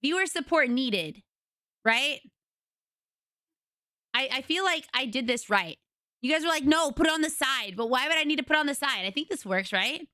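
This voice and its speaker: A young woman streamer with a raspy voice, chatting casually and rambling to her viewers from home. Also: high voice, lively intonation, normal speed, long pauses, pushed and bright, no room sound, clean studio sound. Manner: chatty, rambling, retells conversations.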